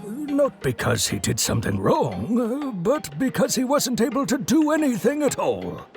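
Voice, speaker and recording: Animated creaky-voiced friendly merchant. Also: male voice, very high-pitched, normal speed, full character voice. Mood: sympathetic, regretful. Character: friendly adult male merchant, sympathetic and reflective. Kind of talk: scripted game dialogue. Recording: music behind the voice.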